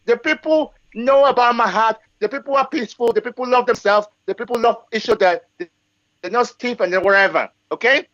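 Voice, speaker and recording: Man, forties, from Africa, high voice, repeats points and keeps asking "okay?", dull recording. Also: a little fast, a bit bright, dry recording. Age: 40s. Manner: emphatic, repetitive, rhetorical checks like okay and yes. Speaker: guest speaker. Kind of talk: live discussion.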